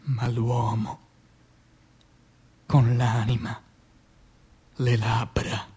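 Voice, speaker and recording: A man reciting poetry as dramatic radio theatre, solemn and declamatory, breaking phrases with deliberate pauses. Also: mid-range voice, completely flat delivery, darkish tone, breathy edge.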